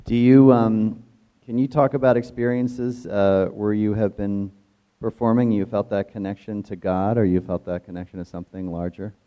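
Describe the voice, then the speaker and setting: A man moderating an interview in a halting, searching conversational way, on a dull, lo-fi recording. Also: fairly low pitch, level tone.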